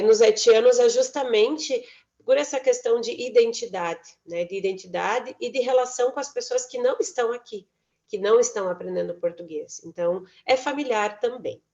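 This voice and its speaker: A woman lecturer giving an academic presentation, measured, with many tag questions and self-corrections, heard over a remote call. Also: mid-range voice, darkish tone. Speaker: university lecturer presenting research. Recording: dull lo-fi online talk audio.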